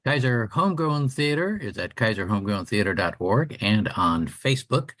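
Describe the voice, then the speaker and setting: A man's voice: fairly low pitch, expressive, normal speed, a bit bright. A man co-hosting a radio show, reading scripted community calendar announcements.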